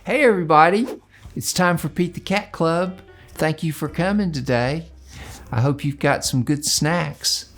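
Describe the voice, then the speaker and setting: A man hosting a children's drawing show, talking in a gentle, unhurried, halting way as he thinks aloud through a casual lesson. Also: on the high side, echoey room, faint music behind.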